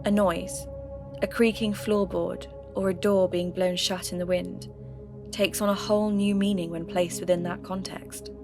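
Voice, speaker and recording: A woman playing a sceptical, intrigued local radio host who investigates ghost stories in measured, scripted podcast narration. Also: fairly low pitch, little pitch movement. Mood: sceptical, reflective. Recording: music bed under voice.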